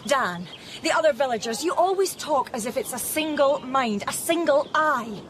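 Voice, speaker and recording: High, expressive grieving mother. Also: normal speed, slight background noise. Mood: defiant, determined. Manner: emotional, earnest. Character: grieving, frightened but defiant mother. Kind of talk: radio drama acting.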